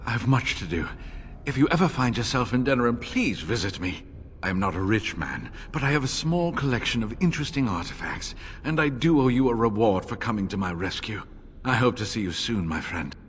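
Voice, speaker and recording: Courteous low-voiced scholar actor in long reverb. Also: male voice, lively intonation, a little fast, close to an everyday voice. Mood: grateful, friendly. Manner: polite, formal. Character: courteous, grateful scholarly collector of artifacts. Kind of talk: dramatic video game voice acting. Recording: long reverb, faint ambient noise.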